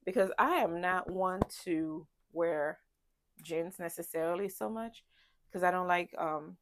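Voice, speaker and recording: A woman's voice: lowish voice, measured pace, no room sound. A woman with a congested, stuffy voice chatting casually as a travel vlogger, rambling with many ums and restarts. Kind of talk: casual packing chat to camera. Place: ship cabin.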